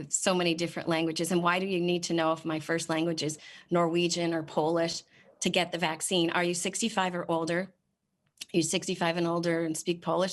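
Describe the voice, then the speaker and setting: A woman's voice: fairly low pitch, fairly bright tone. Exasperated woman testifying at a hearing. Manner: exasperated, sarcastic questioning, halting with many fillers. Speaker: state senator at a committee hearing. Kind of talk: committee testimony, reading off a website form. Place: legislative hearing room.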